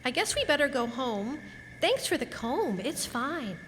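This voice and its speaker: Woman playing a very high, chirpy little girl. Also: very animated, unhurried, a bit bright, a little hiss or hum, exaggerated voice. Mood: cheeky. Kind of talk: radio play acting. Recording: hall reverb, music bed.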